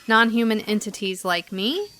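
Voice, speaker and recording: A woman's voice: medium pitch, expressive, moderate pace, fairly bright tone, close to an everyday voice. A woman playing a bewildered young woman seeking answers in an audio drama. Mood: questioning.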